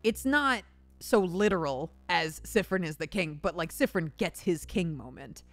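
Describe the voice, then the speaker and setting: A woman's voice: fairly low pitch, lively intonation, normal speed, no room sound, faint music behind. A woman interviewing a guest in a casual live stream chat.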